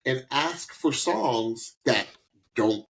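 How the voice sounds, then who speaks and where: A man co-hosts a casual reaction podcast with highly animated intonation. He talks emphatically and off the cuff, often repeating a phrase to drive it home. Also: extremely slow, vocal fry. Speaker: podcast co-host. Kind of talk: casual unscripted reaction commentary.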